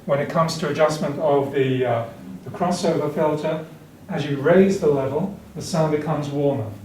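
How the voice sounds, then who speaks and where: A man's voice: fairly high pitch, normal speed, darkish tone, slight background noise. A man giving an informal, detailed product demonstration as an exhibitor, explaining technical points. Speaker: exhibitor presenting hi-fi equipment. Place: indoor hi-fi show demonstration room. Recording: roomy show demo room with music playing. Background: music playing.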